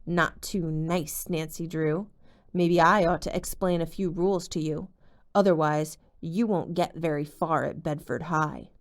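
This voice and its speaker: Woman playing a sneering high school bully, a boy, hushed and slow. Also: fairly even intonation. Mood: sneering menace. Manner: sneering, quiet and menacing.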